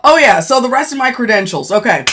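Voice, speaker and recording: A woman with a deep voice chatting casually on a phone livestream, speaking bluntly and thanking viewers as she goes. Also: animated, quick pace, a bit bright, slight background noise. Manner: blunt, frank, thanks viewers mid-sentence. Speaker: livestreamer and former law enforcement worker. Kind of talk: casual live-stream chat reading comments.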